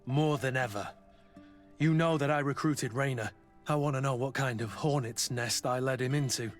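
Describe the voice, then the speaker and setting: An actor playing a brooding veteran mercenary guard in a video game, terse, blunt and earnest. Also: male voice, mid-range voice, moderate pace, soft background music, close to an everyday voice. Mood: determined.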